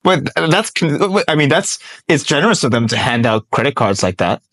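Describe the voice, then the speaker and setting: A man's voice: medium pitch, big pitch swings, moderate pace, a bit bright. Informal, admiring male podcast host. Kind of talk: casual podcast interview.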